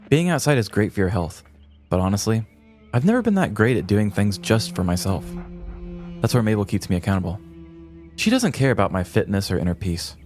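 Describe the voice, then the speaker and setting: Low-voiced man, dry podcast co-host. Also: darkish tone. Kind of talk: casual chat and read-aloud essay.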